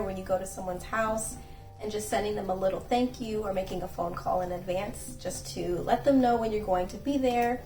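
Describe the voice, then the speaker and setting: A young woman hosting her own channel, giving earnest, gently instructive etiquette advice and acting out sample phone calls. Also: fairly high pitch, slight background noise. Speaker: channel host and etiquette creator. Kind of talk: casual etiquette advice vlog. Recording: echoey room, voice from a distance, faint music.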